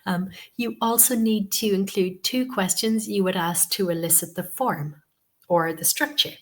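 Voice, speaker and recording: A woman's voice: medium pitch, steady tone, measured pace. A woman tutor with a cold and a sniffly voice gives a webinar lesson. She talks patiently, repeats her key points and often says "okay" and "um". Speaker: TEFL course tutor. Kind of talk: webinar instruction.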